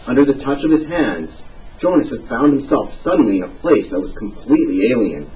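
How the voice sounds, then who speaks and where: A man reads a novel aloud with a lively, rising and falling voice. He sits some way off the mic, and the sound comes through dull and muffled with a faint hiss behind it. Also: medium pitch, a little fast, recorded from a distance. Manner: expressive reading with lively dialogue. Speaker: audiobook narrator. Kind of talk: scripted novel narration.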